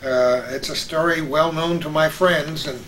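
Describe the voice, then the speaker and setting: Older man reminiscing, slightly high voice, echoey room. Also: steady tone, a little slow, a bit bright. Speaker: host. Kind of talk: casual reminiscing chat about old radio. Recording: echoey room with background noise.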